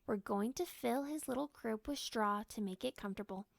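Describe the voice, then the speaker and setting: Lady reading as storybook mom, young, encouraging n earnest, kinda high voice, dry close sound. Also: normal speed, vocal fry, no echo. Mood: eager, conspiratorial. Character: warm, encouraging young mother explaining a secret game.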